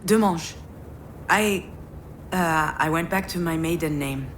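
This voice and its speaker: An actress playing a bitter, overwhelmed daughter in a visual novel, with a sardonic, dramatic delivery. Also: female voice, low-pitched, takes it slowly, slight background noise. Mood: curt. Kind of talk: visual novel voice acting with inner monologue.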